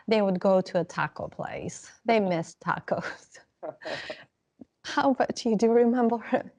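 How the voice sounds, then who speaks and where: A woman's voice: medium pitch, warm tone, lo-fi sound. A woman interviewing a guest, asking friendly, conversational questions.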